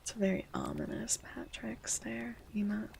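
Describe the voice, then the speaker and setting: A woman whispering softly, chatting and reading a legend in an ASMR stream, with a feminine voice on the low end of the register. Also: steady tone, normal speed, gravelly. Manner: soft unvoiced whispering.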